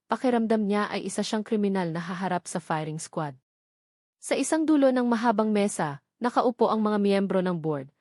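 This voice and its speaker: A woman delivers scripted dramatic story narration. Her pitch sits in the middle for a female voice. The recording is very dry, without room echo. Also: a little mellow.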